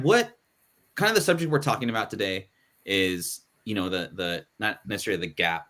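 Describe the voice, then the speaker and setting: A man with a fairly low voice hosts a casual live comics panel. He rambles a little, restarting sentences and filling pauses as he moves from guest to guest. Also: fairly bright tone. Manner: loose, rambling, many restarts and fillers. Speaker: podcast host.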